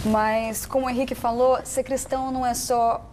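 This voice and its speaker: A woman's voice: on the high side, little pitch movement, moderate pace, a little mellow, a little hiss or hum. A young woman with a Brazilian Portuguese accent, a nursing student speaking fluently and explaining her point. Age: young adult. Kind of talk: casual panel chat.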